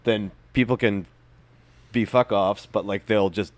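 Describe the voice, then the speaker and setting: Low-voiced man co-hosting a podcast. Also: steady tone, unhurried, no room sound. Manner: loose, filler-heavy, argumentative. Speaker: podcast co-host. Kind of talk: casual chat.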